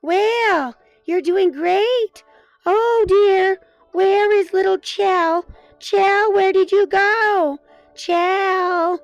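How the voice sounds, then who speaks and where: A woman voicing a gentle, doting mother hen in a children's story, cooing and encouraging. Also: extremely high pitch, fairly even intonation, dry recording, highs cut off, faint music behind, pitched above the performer's own voice, full character voice. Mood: worried. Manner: cooing, encouraging, drawn-out delivery.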